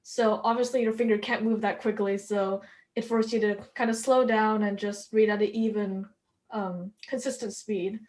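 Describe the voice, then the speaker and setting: A woman's voice: medium pitch, fairly even intonation, moderate pace, a little mellow. A young woman, a native Korean speaker, hosting a casual podcast chat, with a stutter, many fillers and frequent laughs. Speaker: podcast host. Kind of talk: casual conversation.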